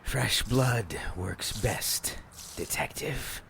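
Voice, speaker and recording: An actor in an audio drama playing an eerie, menacing brother of a witch, speaking in a measured, ominous way. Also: medium pitch, lively intonation, creaky, a bit bright, slight background noise, exaggerated voice.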